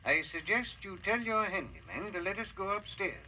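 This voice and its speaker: A man's voice: sits high, very animated, normal speed. An actor playing a kindly old male investigator who speaks firmly and formally in an old-time radio drama. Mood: firm, warning. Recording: noisy old radio recording.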